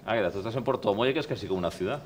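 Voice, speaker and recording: A man hosting a TV debate, asking questions in a conversational way with quick interjections. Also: fairly low pitch, a crowd in the background. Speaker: TV debate host. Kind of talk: moderating a TV debate. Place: TV studio.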